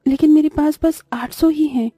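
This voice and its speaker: Poor, hopeful girl voiced by a woman: high but very soft-toned, earnest, plaintive, dry cartoon fable read. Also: moderate pace, no room sound, soft background music, lightly acted. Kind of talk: animated moral story dialogue.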